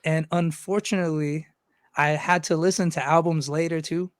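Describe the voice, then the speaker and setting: A man's voice: a little high, fairly even intonation, moderate pace, no echo, clean studio sound. A young man from Los Angeles, a rapper talking loosely in a casual interview, rambling and swearing freely. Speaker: guest rapper.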